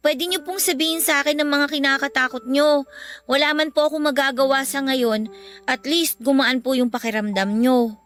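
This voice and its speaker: A high, youthful voice of a woman acting as a respectful Filipino child in a radio drama. The child is caring and earnest, gently reassuring a parent, with faint music underneath. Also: natural voice. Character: caring, earnest school-age child comforting worried mother.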